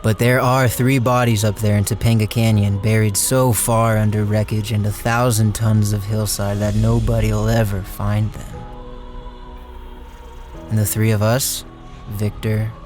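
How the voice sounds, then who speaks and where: A man acting a guilt-ridden, fatalistic plotter who tells his story in a radio drama, somber and deliberate. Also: on the low side, lightly acted. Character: Clyde, guilt-ridden, fatalistic man narrating a murder plot. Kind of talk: dramatic first-person radio drama narration.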